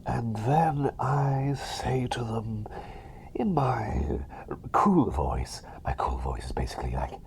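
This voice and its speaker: A man's voice: mid-range voice, very animated, slow pace, gravelly, husky, heavily acted. Man playing a whispery, eccentric, cheery plague doctor. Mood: excited, sheepish. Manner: whispery, rambling, hesitant, soothing. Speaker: ASMR roleplay performer. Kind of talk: whispered ASMR personal attention roleplay.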